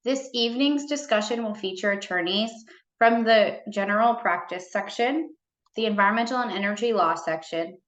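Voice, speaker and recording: A woman moderating a panel over a video call, reading a formal welcome in a steady way. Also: medium pitch, echoey room, lo-fi sound.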